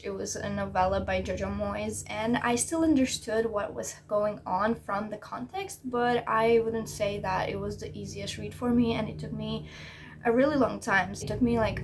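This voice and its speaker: A woman's voice: medium pitch, noisy background. Chatty self-critical woman vlogger. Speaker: language learning vlogger. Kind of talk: casual vlog update.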